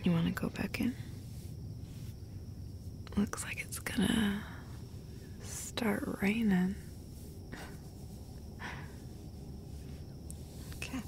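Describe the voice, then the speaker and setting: Tender, creaky-voiced girlfriend, acted by a woman. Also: mid-range voice, takes it slowly, pauses often, darkish tone, in a whisper. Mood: playful, soft. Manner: tender, casual, rambling, swears playfully. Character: affectionate, playful, chatty girlfriend comforting her partner. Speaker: audio roleplay performer. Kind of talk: intimate girlfriend roleplay, casual chat.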